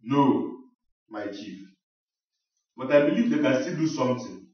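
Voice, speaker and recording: Man acting a measured Nigerian king, echoey. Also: mid-range voice, animated, close to an everyday voice. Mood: reasoning. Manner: measured, formal. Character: village king, measured and reasoning leader. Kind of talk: radio drama acting. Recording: dull, echoey, distant from mic.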